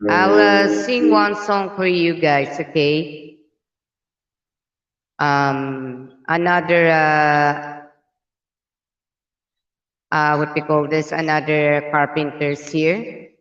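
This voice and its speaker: Slightly hoarse woman, hesitant livestream guest, roomy echo, muffled sound. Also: low voice, steady tone. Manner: slow, hesitant, many fillers. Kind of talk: casual livestream chat. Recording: roomy, muffled remote audio.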